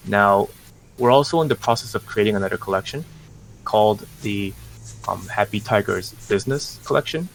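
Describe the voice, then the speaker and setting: A man with a low, gravelly voice lays out business plans at an unhurried, measured pace, stopping often for fillers and restarts. Faint noise sits under his voice. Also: rough recording. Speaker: NFT project representative. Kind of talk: casual podcast interview.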